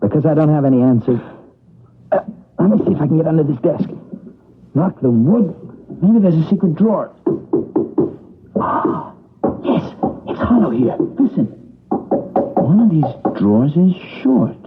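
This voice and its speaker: An actor playing an earnest, anxious young husband in a vintage radio drama. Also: male voice, fairly high pitch, lively intonation, unhurried, long pauses, slightly rough, soft background music, heavily acted. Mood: urgent. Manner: earnest, urgent, puzzled. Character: anxious young husband investigating his uncle. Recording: old radio broadcast, narrow band.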